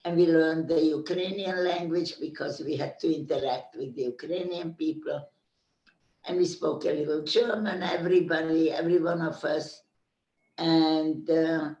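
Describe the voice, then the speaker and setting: Slow older woman. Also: low voice, a little mellow, far from the mic, highs cut off. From Carpathian Ruthenia. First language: Hungarian. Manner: slow, searching for words with many pauses. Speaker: interviewee. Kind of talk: oral history interview. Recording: echoey room, speaker off mic.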